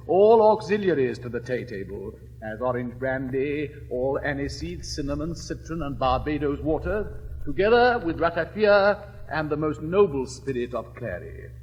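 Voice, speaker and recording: An actor playing an elegant, witty gentleman suitor in a classic stage comedy, speaking in a crisp, measured, declamatory way. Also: a little high, lively intonation, dull audio, natural voice. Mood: wry. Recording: reverberant old recording, faint hiss.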